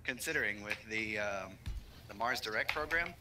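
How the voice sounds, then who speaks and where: Very bright, forceful man over noisy chat. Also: on the low side, lively intonation, normal speed. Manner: joking, teasing. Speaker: co-op gaming partner. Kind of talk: casual gameplay chat.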